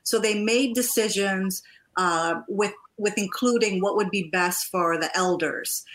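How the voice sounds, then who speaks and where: A woman born in Trinidad and raised partly in the US speaks as a guest in a conversational interview. Her voice sits at a middle pitch. She tells personal stories as a coach, in an effusive, expressive manner. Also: a little slow. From born in Trinidad, moved to the US at about eight. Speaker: guest, life and divorce coach.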